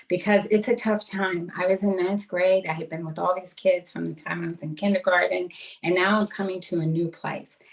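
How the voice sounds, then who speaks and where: A woman's voice: on the low side, gravelly. A middle-aged American woman telling her own story as a podcast guest, candid and earnest. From United States, Massachusetts and Florida. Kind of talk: reflective personal storytelling in an interview. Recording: voice distant from the mic.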